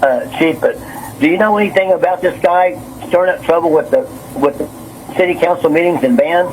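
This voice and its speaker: A man's voice: fairly high pitch, brisk, darkish tone. A nervous, agitated man talking on the phone, rambling, repeating himself and stumbling over his words. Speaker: city councilman and business owner on a phone call. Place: on a telephone. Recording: noisy recorded phone call.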